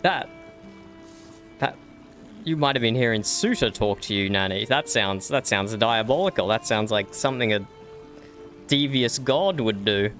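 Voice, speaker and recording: A man's voice: lowish voice, lively intonation, unhurried, pauses often, fairly bright tone, soft background music. A man voicing a good-humoured companion in a role-play game who laughs often, stammers and says "aye".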